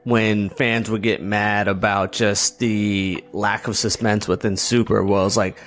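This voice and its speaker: Low-pitched man in casual chat. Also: unhurried. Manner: slangy, animated retelling with acted-out quotes.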